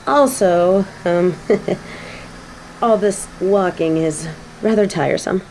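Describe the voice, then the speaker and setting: A woman playing a snobby but secretly soft fallen angel in a scripted audio roleplay, with prim, formal phrasing. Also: fairly low pitch, takes it slowly, a little hiss or hum, poor audio. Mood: awed, chatty.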